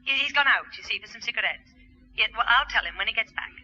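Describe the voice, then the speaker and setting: A woman's voice: fairly high pitch, lively intonation, quick pace, pushed and bright, breathy edge, full character voice. A woman playing a polite, inquisitive middle-aged mother in a 1960s radio sitcom. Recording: muffled old radio recording.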